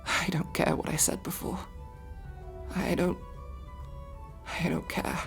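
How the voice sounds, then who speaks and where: A woman playing a guarded but tender elf mercenary in intimate ASMR roleplay, slow and halting, with a creaky voice that often drops to a whisper. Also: lowish voice, flat delivery, faint music behind. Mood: flustered, affectionate.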